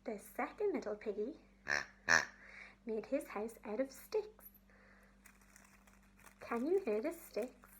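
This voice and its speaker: Slow, high-voiced woman children's storyteller. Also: big pitch swings, warm tone, slight background noise. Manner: clear, simple phrasing with pauses for young listeners.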